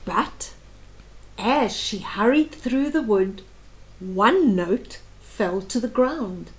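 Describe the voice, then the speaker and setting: A woman narrates a children's picture book in a high, very lively voice. She takes her time, adding dramatic emphasis and little asides for young listeners. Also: drawn-out words. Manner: animated, exclaiming, dramatic emphasis. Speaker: children's storybook reader. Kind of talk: expressive read-aloud story narration with explanatory asides.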